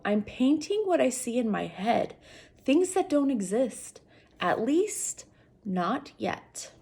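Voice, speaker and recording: Female teacher type for a children's read-aloud. Slightly raspy voice, very varied intonation, talks straight to the kids. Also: medium pitch, darkish tone. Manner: addresses young listeners directly. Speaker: teacher reading aloud to students.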